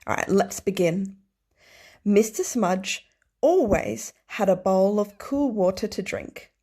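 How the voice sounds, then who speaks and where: A woman teacher reading aloud to young children, explaining things simply and deliberately and putting questions to them. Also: mid-range voice, expressive, dark tone. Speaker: early primary school teacher.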